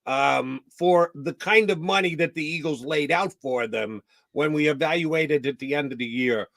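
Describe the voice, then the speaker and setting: A man's voice: a little high, normal speed, creaky, fairly bright tone, dry recording. A man hosting a morning sports talk stream, wisecracking and ribbing his guest before asking long, winding questions. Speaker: sports show host.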